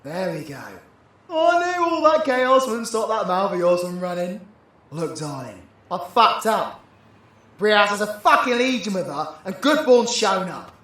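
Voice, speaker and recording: A man's voice: high-pitched, big pitch swings, measured pace, a bit bright, exaggerated voice. A British man playing a cocky, foul-mouthed supervillain with a slangy, irreverent swagger in an audio drama. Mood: urgent. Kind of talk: villain audio roleplay acting.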